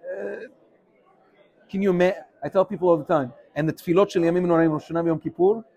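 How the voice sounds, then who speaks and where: Here is an energetic man, giving a casual Torah class. His voice sits a little high for a man. He keeps jumping between English and Hebrew terms as he explains. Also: fast. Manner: animated, mixes English with Hebrew phrases. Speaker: rabbi teaching a class. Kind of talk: informal Torah class with questions.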